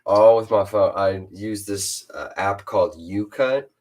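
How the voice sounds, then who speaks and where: A teenage boy with a very deep voice, hosting a casual chat with a fellow rapper and hesitating often. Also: unhurried, darkish tone. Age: teens. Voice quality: super deep voice. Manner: hesitant, lots of uh and restarts. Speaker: host interviewing a fellow rapper.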